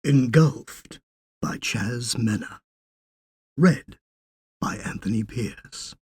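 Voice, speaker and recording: Male narrator for weird fiction: very raspy, dry close sound, measured dramatic reading. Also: mid-range voice, a little mellow, no room sound. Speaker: audiobook narrator. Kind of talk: scripted literary story narration.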